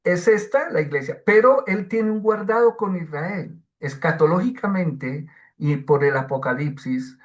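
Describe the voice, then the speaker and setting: A man teaching the Bible, answering questions in an online class, slow and hesitant with many fillers and restarts. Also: on the high side.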